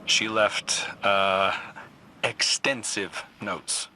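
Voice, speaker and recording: An actor playing a wry, world-weary, thoughtful man in a video game, speaking in a husky, measured, candid way. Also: fairly low pitch, fairly even intonation, heavy background noise. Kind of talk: dramatic video game acting.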